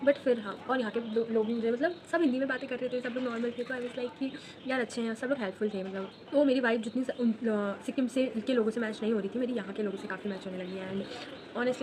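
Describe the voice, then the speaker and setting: A woman in her late teens telling a casual story while drawing, chatty and quick, mixing English phrases into her talk. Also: a little high, background noise. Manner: chatty, fast, mixes English phrases into Hindi. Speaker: vlogger.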